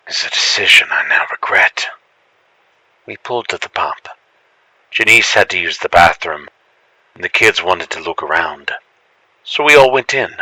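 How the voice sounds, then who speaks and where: Deep-voiced male storyteller, bright and dry. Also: no echo, rough recording. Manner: expressive storytelling, acts out the lines. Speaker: horror story narrator. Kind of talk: dramatic first-person scripted narration.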